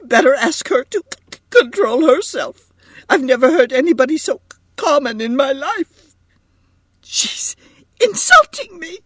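A woman's voice: high-pitched, sing-song, measured pace, pauses often, a bit bright, dry recording, pitched above the performer's own voice, full character voice. A woman voicing an indignant young mother in a story, stammering and wailing through sobs with hurt fury. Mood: broken outrage.

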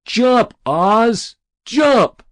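Man as a high, shouting eager boy. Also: animated, drawn-out words, full character voice. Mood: daring, urgent. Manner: loud, urgent shouting. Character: eager young boy egging a friend on.

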